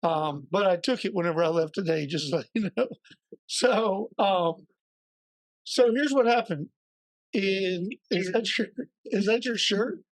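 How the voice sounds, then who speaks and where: A man co-hosting a podcast, slightly hoarse and raspy, telling a story in a chatty way with joking asides. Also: sits high, measured pace, dry recording. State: a little hoarse. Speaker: podcast co-host. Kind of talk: casual podcast chat.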